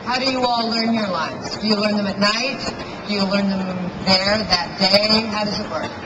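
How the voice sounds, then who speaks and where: A woman hosts a fan panel, firing off quick prompts and teasing the guests. Her mid-range voice sounds far off in an echoey hall with a loud crowd and heavy noise. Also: mid-range voice, fairly even intonation. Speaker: panel moderator. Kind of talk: hosting a fan Q&A.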